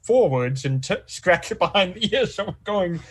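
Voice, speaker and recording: A man with a husky voice, casually describing his moves in a tabletop role-playing game. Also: high voice, lively intonation, normal speed.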